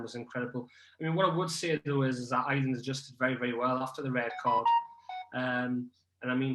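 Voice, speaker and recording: A man's voice: medium pitch, fairly bright tone. A young man chatting about rugby as a podcast guest, hesitant, with many ums and restarts. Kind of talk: casual podcast chat. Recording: echoey room.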